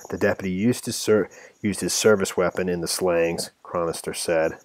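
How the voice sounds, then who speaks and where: A man giving informal commentary on a news story, part reading and part ad-libbing, halting and rambling as he stumbles over words. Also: deep voice, fairly even intonation, dry recording.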